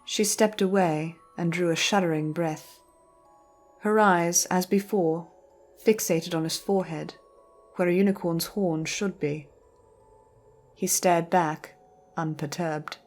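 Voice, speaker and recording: Woman reading a story as narrator, bit of a low voice, music in the background. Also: fairly even intonation, takes it slowly, long pauses, a little mellow.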